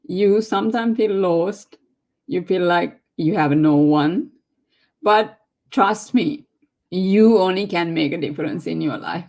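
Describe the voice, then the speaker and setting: A woman's voice: lowish voice, slow pace, gravelly, a little mellow. A woman from Sri Lanka whose first language is not English, giving a heartfelt, emphatic motivational testimony in non-native English. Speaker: guest speaker.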